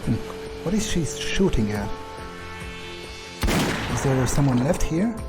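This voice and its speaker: Male gamer, in-character playthrough narrator, casual self-talk with salty language, average pitch, clear music underneath. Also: sing-song, slow. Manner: musing to himself, casual, swears freely. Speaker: gamer playing in character as a vampire. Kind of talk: in-character video game playthrough, thinking aloud. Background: music behind the voice.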